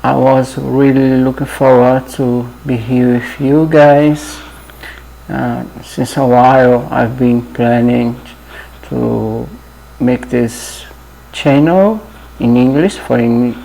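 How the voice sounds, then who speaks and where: A Brazilian man in his fifties with a Portuguese accent, introducing himself to viewers in a halting, friendly way, often trailing off with "yeah". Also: mid-range voice, fairly even intonation, creaky, dark tone, low-quality recording. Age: 50s. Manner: halting, many fillers and restarts, often adds "yeah". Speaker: channel host. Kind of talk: casual personal introduction.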